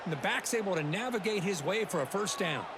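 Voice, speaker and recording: A man's voice: high voice, lively intonation, a little fast, noisy background. A man voicing an opinionated football color commentator in a video game, conversational and emphatic.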